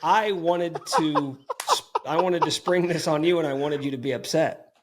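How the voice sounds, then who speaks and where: A man's voice: a little high, moderate pace. A man hosting a casual sports podcast, talking in a playful, teasing, mock-bossy way. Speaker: podcast host.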